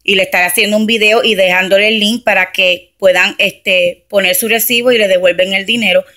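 A woman with a bright, forward voice talks through her shopping finds on camera. Her delivery is chatty and practical, with English words dropped in. It is recorded on a phone held in her hand at home. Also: mid-range voice, fairly even intonation, brisk. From Camden, New Jersey, USA. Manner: chatty, explains prices step by step, mixes in English words. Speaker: couponing vlogger. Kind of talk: casual haul walkthrough. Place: home, beside a shelf of groceries, indoors. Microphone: handheld phone camera.

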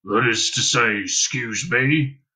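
A man voicing a small, humble, hairy creature with a rough voice and rambling rustic phrasing in a storybook reading. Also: medium pitch, slow, sharp tone. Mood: sheepish.

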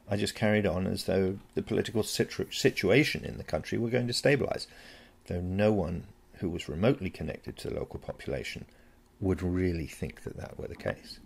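A man's voice: deep voice, vocal fry, darkish tone, slightly rough. A man reading a first-person story as scripted narration. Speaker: story reader.

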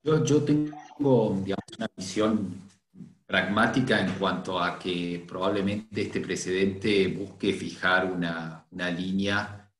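A middle-aged man, speaking on an online academic panel, measured and hesitant. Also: low-pitched, a bit bright, slight background noise. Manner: measured, thinks aloud with many hesitations. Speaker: seminar panelist. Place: indoor room. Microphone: laptop camera mic on video call. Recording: roomy echo.

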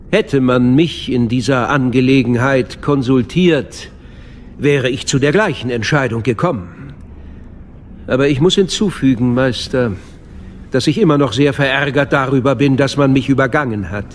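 An actor playing a dignified older chancellor in a radio play, with a formal, measured manner. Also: male voice, mid-range voice, slight background noise, close to an everyday voice. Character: dignified, smooth-talking older male chancellor. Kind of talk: dramatic radio play acting.

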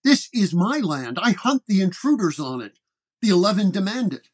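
A man's voice: high-pitched, very animated, moderate pace, breathy edge, voice pushed up, full character voice. A man voicing the proud, angry ghost of a warrior in solemn, formal declarations for a radio drama.

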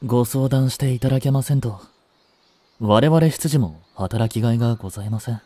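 A man's voice: mid-range voice, fairly quick, warm tone, no echo, lightly acted. A man playing a devoted, jealous young butler in a voice drama, with formal, clipped, haughty speech. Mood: concerned, formal. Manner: formal, polite, clipped, turning contemptuous toward a rival. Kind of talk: Japanese voice drama acting.

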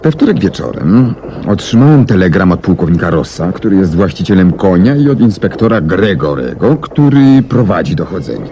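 A low male voice as a self-assured, brilliant detective in a 1970s radio drama. He speaks deliberately and articulately, with very varied melody and a courteous, methodical manner. The old recording carries faint noise. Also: sing-song, mellow, muffled recording. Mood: reflective, explanatory.

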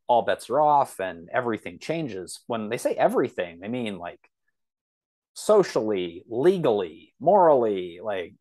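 Low-pitched man explaining casually. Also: a little mellow. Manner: thinks aloud, searching for words. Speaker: podcast guest, author. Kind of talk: casual explanatory podcast talk.

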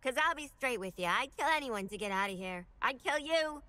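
A woman's voice: sits high, expressive, brisk, pushed and bright. An actress playing a brash, manic female supervillain prisoner in a video game, sassy and mocking, with an American accent. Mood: bluntly menacing. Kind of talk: video game cutscene acting.

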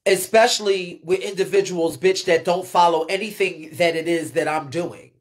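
A woman's voice: on the low side, normal speed. An American woman on an unscripted live stream, ranting bluntly and emphatically with heavy swearing and repeated phrases. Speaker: live streamer and ballroom scene commentator. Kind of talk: unscripted live rant answering viewer comments.

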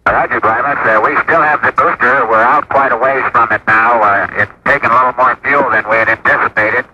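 A man's voice: medium pitch, steady tone, brisk, lo-fi sound. A man speaking as an astronaut in procedural, understated radio transmissions. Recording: sharp, noisy 1960s space radio.

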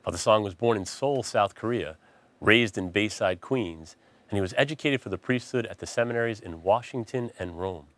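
A man's voice: on the low side, moderate pace, a bit bright, no room sound. A middle-aged man, a priest hosting a TV interview show in a studio, reading a scripted intro and then asking conversational questions with small restarts, on a lapel mic. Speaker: priest host.

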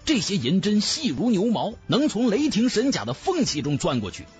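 A man's voice: high voice, wildly swooping pitch, moderate pace, a little mellow, faint music behind. A man narrating a fantasy audiobook in a dramatic scripted style.

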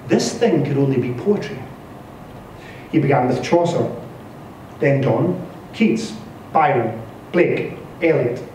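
A man in his thirties reading a literary story aloud with expressive, dramatic delivery. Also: on the high side. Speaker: story reader at a live literary event. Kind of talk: scripted literary narration read aloud. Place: bare-walled indoor venue, live reading. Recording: echoey room from a distance, faint noise.

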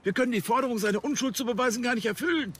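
An actor playing an officious, impatient police chief in a television crime comedy. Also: male voice, very high voice, very fast, fairly bright tone, a little hiss or hum. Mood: exasperated. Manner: officious, exasperated. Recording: music behind the voice.

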